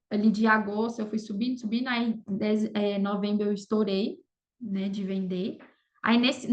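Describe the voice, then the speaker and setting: A Brazilian woman talking casually as an interview guest, often adding little check-in tags to her sentences. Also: fairly high pitch. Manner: conversational, frequent "sabe" and "entendeu" tags. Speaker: podcast guest, affiliate marketer.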